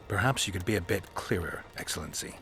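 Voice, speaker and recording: An actor playing a stoic male monster hunter in a video game, terse and deadpan with dry, laconic delivery. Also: deep voice, fast. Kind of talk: video game dialogue acting. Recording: music behind the voice.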